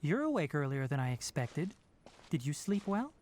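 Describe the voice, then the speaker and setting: Earnest, expressive young forest ranger. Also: male voice, on the high side. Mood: gently stern. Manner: articulate, formal, measured, instructive. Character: earnest young male forest ranger and scholar, principled, gently stern. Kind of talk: scripted video game voice acting.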